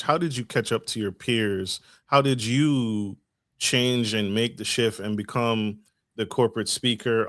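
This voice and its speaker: A man's voice: medium pitch, fairly bright tone, no room sound, studio quality. A man hosting an interview podcast, asking long, stacked questions and rephrasing them for emphasis. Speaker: podcast host.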